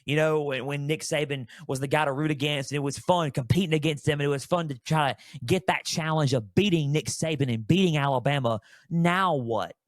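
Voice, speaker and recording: A man's voice: fairly high pitch, fairly quick, no echo, studio quality. A man in his early twenties talking solo as a sports podcast host, conversational and storytelling, repeating phrases for emphasis.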